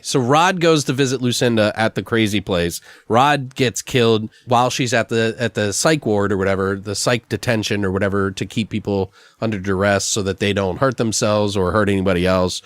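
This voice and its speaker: A man with a fairly low voice talks in a casual brainstorm, recorded close and dry. He rambles as he improvises ideas and swears freely, like a podcast host thinking out loud. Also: a little fast, few pauses, dry recording. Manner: rambling, profane.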